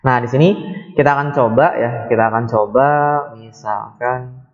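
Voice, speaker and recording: A man's voice: mid-range voice, steady tone, moderate pace, lo-fi sound. A man giving a coding tutorial, talking unhurriedly with many fillers and self-corrections. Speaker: programming tutor. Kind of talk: screen-recorded coding tutorial.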